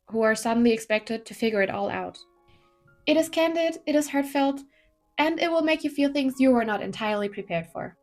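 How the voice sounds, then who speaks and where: A woman narrating a scripted drama review in an earnest, conversational tone with light humor. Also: on the high side. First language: not Korean. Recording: faint music underneath.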